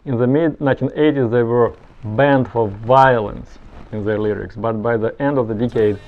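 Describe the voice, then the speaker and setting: A man's voice: medium pitch, fairly even intonation, moderate pace, phone-quality sound. A man giving explanatory, semi-scripted narration as a video presenter. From Soviet Union.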